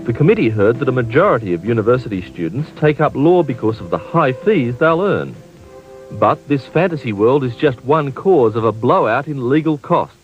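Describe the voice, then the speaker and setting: A man narrating a TV news report with a lively, emphatic reading. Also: medium pitch, moderate pace, soft background music. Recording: muffled old TV broadcast.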